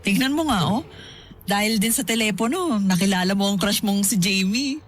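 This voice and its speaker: A middle-aged woman playing a warm, teasing mother in a radio drama, chatty and affectionate with her son. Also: medium pitch, moderate pace, noisy background, lightly acted. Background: music.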